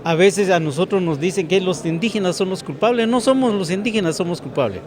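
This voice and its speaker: A man's voice: high voice, expressive, a little fast, darkish tone, slight background noise. A man from Guatemala speaking with passion and some restarts.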